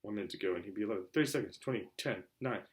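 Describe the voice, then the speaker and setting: A man reading a storybook aloud as a narrator, in an expressive, theatrical style. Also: on the low side, racing. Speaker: audiobook reader.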